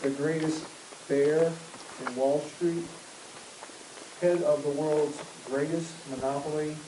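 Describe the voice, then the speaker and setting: A man reading a text aloud in an even, steady way for another speaker. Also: fairly high pitch, slow pace, reverberant, background noise. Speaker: reader for the speaker.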